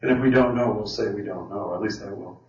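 A man's voice: on the low side, quick pace. Man teaching a Bible study in a roomy hall. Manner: conversational, thinks aloud with restarts. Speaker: Bible study speaker leading a question session. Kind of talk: informal teaching talk. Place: meeting hall with an audience. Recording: roomy, distant, dull sound.

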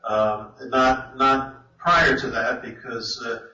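Hesitant man with a bright voice. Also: medium pitch, fairly even intonation, slow pace. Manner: hesitant, many fillers and restarts. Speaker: panelist at a Bible study. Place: meeting hall. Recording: roomy, distant, dull sound.

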